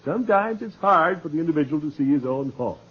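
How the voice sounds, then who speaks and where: An actor playing a pompous, self-important middle-aged club leader with a chuckle in 1940s radio comedy. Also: male voice, a little high, expressive, fast, slightly rough. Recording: muffled old 1940s radio recording.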